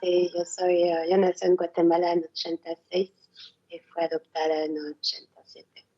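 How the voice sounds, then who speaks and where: A woman in her thirties from Belgium, a remote interview guest, speaking haltingly in simple phrases and repeating words. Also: lowish voice, flat delivery, dull audio. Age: 30s.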